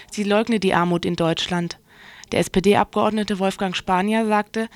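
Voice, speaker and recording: A woman's voice: medium pitch, no echo. Dry-sounding woman reading radio news. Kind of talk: scripted news report.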